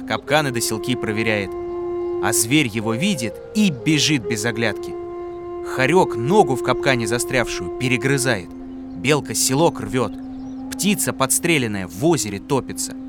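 Male storyteller, calm rhythmic folk-tale narration, mid pitch, music bed behind. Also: measured pace.

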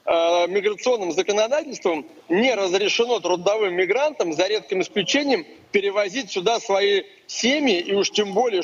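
A man's voice: high-pitched, breathy edge, muffled recording. A man, a guest commentator on a live remote link, arguing emphatically with rhetorical questions.

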